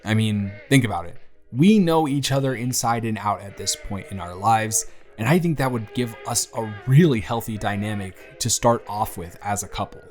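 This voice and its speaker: A young man playing an earnest, lovestruck best friend in an intimate audio roleplay, speaking in a halting, conversational way. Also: on the low side, a little mellow, natural voice. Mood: tentatively happy. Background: background music.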